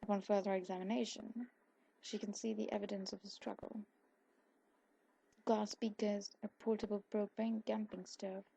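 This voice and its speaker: A woman with a husky voice reading a scripted story aloud in an ASMR style. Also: mid-range voice, mellow, low-quality recording. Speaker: fan fiction audiobook narrator.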